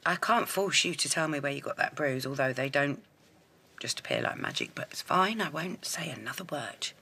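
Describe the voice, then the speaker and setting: An actress in her thirties with a London accent, playing a blunt, protective, quick-tempered woman caring for a girl. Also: deep voice, very animated, vocal fry, slightly rough, heavily acted. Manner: blunt, sharp-tongued, coaxing when gentle. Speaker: stepmother figure. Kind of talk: soap opera acting. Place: home living room and street.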